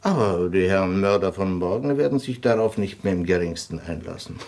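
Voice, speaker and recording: An actor playing a cynical, mocking former prison doctor in a German radio drama, speaking theatrically and provocatively. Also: male voice, deep voice, normal speed, darkish tone. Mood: gleeful, lecturing. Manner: theatrical, provocative, breaking into chuckles.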